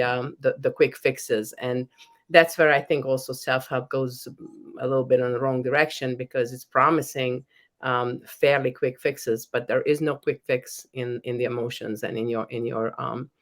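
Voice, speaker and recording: A woman's voice: very deep voice, fairly even intonation, normal speed. A middle-aged woman from Hungary with a Hungarian accent, a professor and author talking earnestly as a podcast guest, often restarting her sentences. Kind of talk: podcast interview.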